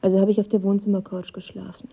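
A guarded, vulnerable young woman in a radio play. Her mid-pitched voice is husky and hushed as she confides, and the recording is a little dull. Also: monotone, quick pace. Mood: hurt, confiding. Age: young adult. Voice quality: breathy, husky. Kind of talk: radio drama acting.

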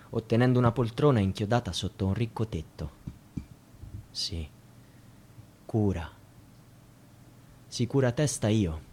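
An actor playing a bitter, defiant young student in a poetic radio theatre monologue, delivered in halting, declamatory phrases. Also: male voice, lowish voice, darkish tone, a little hiss or hum. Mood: bitter, subdued. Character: anguished young student, bitter and defiant about precarious work. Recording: music under the voice. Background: music.

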